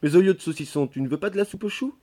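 A man's voice: on the high side, rapid-fire, mellow, voice pushed up, natural voice. A man voicing a chatty, blunt, nagging country servant woman from a novel. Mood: coaxing.

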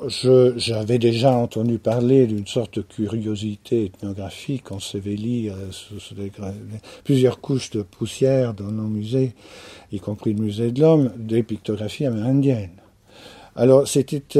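Male anthropologist from France, husky, unhurried interview answers, repeats phrases for weight. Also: medium pitch, warm tone. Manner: thoughtful, pauses and repeats key phrases.

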